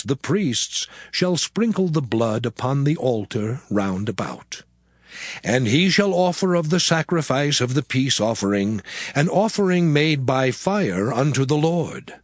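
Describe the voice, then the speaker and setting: A man giving a solemn, measured reading of old scripture text. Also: mid-range voice, no echo. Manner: solemn, measured, archaic wording. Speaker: scripture reader. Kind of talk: dramatized Bible reading. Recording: music playing behind.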